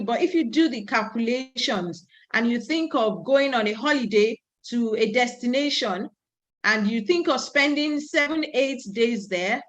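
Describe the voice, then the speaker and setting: A woman's voice: on the high side, sharp tone, highs cut off. A woman in her thirties from Nigeria, giving a conference talk and speaking in a storytelling way, with asides. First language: Yoruba. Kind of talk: presentation talk.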